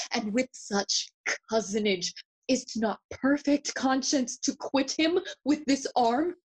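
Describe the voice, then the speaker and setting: A woman playing a brooding, mocking young Shakespearean prince in an audio play, theatrical and deliberate, moving between English and Spanish. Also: sits high, darkish tone, breathy edge, heavily acted, low-quality recording. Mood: indignant.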